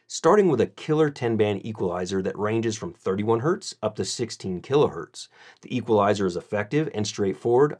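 A man with a fairly low voice narrates a scripted review. He presents as a channel reviewer would, moving through the material in a measured and methodical way. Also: darkish tone.

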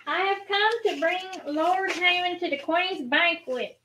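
A woman's voice: very high voice, a little slow, sharp tone, full character voice, low-quality recording. A woman playing a formal royal servant bringing word in an amateur radio play. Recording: faint background noise.

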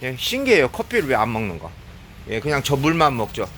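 Vlogger man with a cold, bright, talkative, filling pauses with a stream of "yes", filmed on a handheld phone in a shop with faint store hum. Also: mid-range voice, big pitch swings. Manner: chatty, fills pauses with frequent "yes". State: has a cold. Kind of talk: casual running commentary while shopping. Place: convenience store in Laos.

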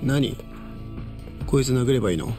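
An actor playing an indifferent, violent young hired brawler with laconic speech in a video game. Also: male voice, medium pitch, moderate pace, music in the background, natural voice. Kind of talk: dramatic video game acting.

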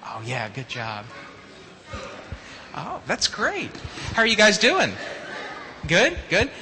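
A man's voice: high-pitched, wildly swooping pitch, slow pace, sharp tone. A male pastor leading worship and chatting playfully with children, often stopping and restarting his phrases. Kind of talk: church service leading and children's sermon. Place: church sanctuary. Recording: church sanctuary mic with long hall reverb and faint background noise.

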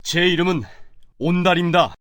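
Guy acting high pitched simple country lad, earnest n excitable, kids folktale cartoon, music behind. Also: normal speed, lightly acted. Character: simple, poor young woodcutter, earnest and excitable. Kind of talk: children's animated folktale acting.

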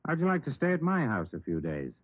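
An actor playing a middle-aged, steady police captain with an American accent in a 1950s radio drama, measured and authoritative. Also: male voice, medium pitch. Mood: fatherly. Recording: dry, narrow-band vintage radio recording.

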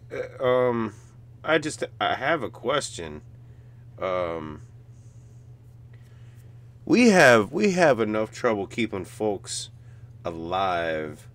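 A man in middle age with a deep voice talks slowly and casually, as if reacting live on a stream. He hesitates and muses, feeling his way through each thought. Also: fairly bright tone. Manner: hesitant, halting, musing. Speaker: reaction streamer, show host. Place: indoors, seated at a streaming setup.

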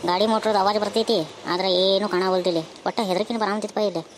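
Fast-chattering timid traveller voiced by a woman. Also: medium pitch, fairly even intonation, noisy background, natural voice. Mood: scared. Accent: North Karnataka Kannada. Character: timid, easily frightened young male traveller. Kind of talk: amateur cartoon voice acting.

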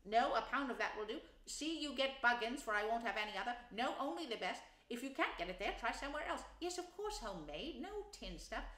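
A woman's voice: on the high side, big pitch swings, fairly bright tone, echoey room, pitched above the performer's own voice. Woman voicing a hearty, masterful storybook water rat. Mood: brisk, commanding. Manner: brisk, commanding, exclamatory. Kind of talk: children's storybook character reading.

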